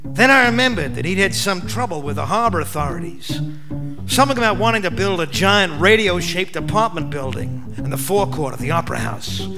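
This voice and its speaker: A world-weary Australian private eye narrating a noir spoof for radio. His voice sits high and swings widely in pitch, deadpan in wit, with music playing behind. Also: brisk. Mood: wry, deadpan. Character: wry, world-weary hard-boiled male private detective narrator. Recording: live stage radio play broadcast.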